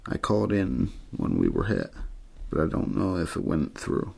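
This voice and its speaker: A man voicing a businesslike, commanding police team captain in a storybook reading, gravelly and firm as he gives orders. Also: sits low, huge pitch swings, normal speed, darkish tone, exaggerated voice. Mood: resigned.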